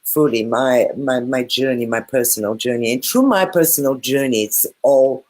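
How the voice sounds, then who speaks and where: A woman with a Bulgarian accent and a very low, deep voice speaks earnestly over a video call. She repeats her phrases, circling back with soft fillers as she explains. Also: normal speed. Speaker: guest, spiritual teacher. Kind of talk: casual video call chat.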